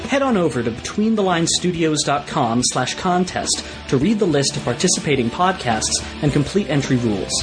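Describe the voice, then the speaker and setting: Lively man announcing over guitar music. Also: on the high side, normal speed, few pauses. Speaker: promo announcer. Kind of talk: scripted podcast advertisement.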